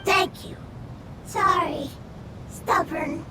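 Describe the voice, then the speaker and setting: A woman voicing a small, earnest spirit creature in a video game, speaking in halting, broken phrases. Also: extremely high pitch, fairly even intonation, very noisy, full character voice. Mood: grateful. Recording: loud music under the voice.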